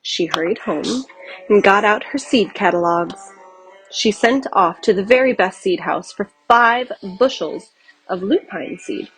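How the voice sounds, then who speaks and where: A woman reads a children's book aloud as a storyteller. Her voice sits at a middle pitch, expressive and carefully delivered, with music playing behind it. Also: unhurried, low-quality recording. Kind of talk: scripted storybook narration.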